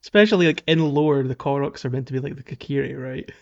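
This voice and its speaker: A man's voice: mid-range voice, fast, creaky, darkish tone. Man chatting casually through a dull microphone. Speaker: podcast co-host. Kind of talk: casual chat about a video game.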